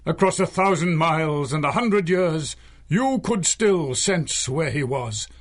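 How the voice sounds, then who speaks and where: An actor playing a solemn, enigmatic mystic hero in a 1930s-style radio drama, speaking in a measured, formal way. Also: male voice, fairly high pitch, expressive. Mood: solemn, commanding.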